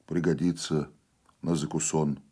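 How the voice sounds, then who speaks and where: A man voicing an uneasy partisan executioner, soft-spoken, halting and hesitantly reassuring. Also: very deep voice, flat delivery, breathy edge, exaggerated voice. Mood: falsely casual.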